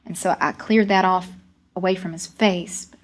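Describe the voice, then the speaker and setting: A woman playing a plainspoken young coal miner, telling a story in halting, folksy rural speech with repeated words. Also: lowish voice, fairly even intonation, close to an everyday voice. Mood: grave, hushed. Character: young coal miner recalling a deadly cave-in, plainspoken, earnest. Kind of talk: dramatic first-person storytelling monologue.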